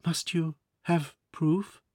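A man's voice: a little high, steady tone, slow, mellow, no room sound, clean studio sound, voice pushed up. A man reading aloud, voicing a naive, earnest young French daughter in a raised voice.

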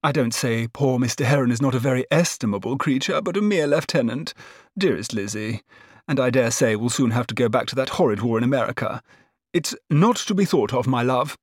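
A man's voice: medium pitch, fairly quick, no echo, studio quality, voice pushed up, close to an everyday voice. A man voicing a gushing, worldly middle-aged society lady in a period novel, with breathless, emphatic exclamations. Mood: coaxing. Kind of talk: dramatised period novel narration.

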